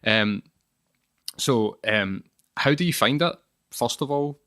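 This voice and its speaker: A man's voice: mid-range voice, lively intonation, takes it slowly, a bit bright, dry recording, studio quality. A man hosting a podcast interview, asking questions in a hesitant, casual way with many "um"s. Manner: hesitant, frequent "um" and "sort of". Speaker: podcast host.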